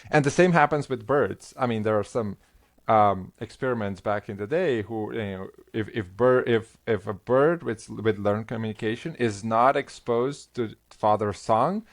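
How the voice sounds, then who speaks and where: Middle-aged guy, slovenian native, professor explaining stuff, lowish voice, lots of um and restarts. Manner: halting, many restarts and fillers. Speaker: linguistics professor, guest. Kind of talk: conversational interview.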